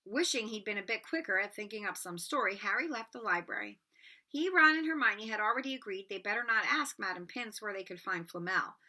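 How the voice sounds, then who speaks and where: A woman narrating a storybook aloud in an expressive read-aloud style. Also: mid-range voice.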